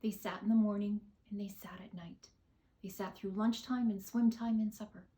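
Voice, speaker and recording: A woman's voice: mid-range voice, fairly even intonation, moderate pace, mellow. A woman on a school staff reading a children's picture book aloud. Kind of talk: children's storybook read-aloud.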